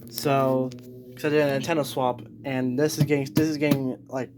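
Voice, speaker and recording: A man's voice: medium pitch, flat delivery, normal speed, a little mellow, faint music behind. A man giving a casual, unscripted show-and-tell as a collector, rambling and backtracking as he goes. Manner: rambling, backtracks and repeats himself. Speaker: collector presenting weather radios. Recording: noisy home recording with clicks.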